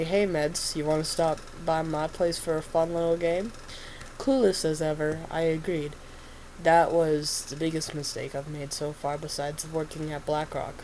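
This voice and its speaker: A woman's voice: low voice, darkish tone, slight background noise, rough recording. A woman with a stuffy nose reading the part of a weary male doctor telling his story in first person.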